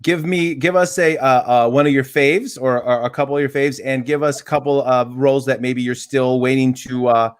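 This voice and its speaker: A man of middle pitch hosts a casual interview for a theater. He talks haltingly and restarts his sentences often. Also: fairly even intonation, clear, resonant tone. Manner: halting, many restarts and fillers.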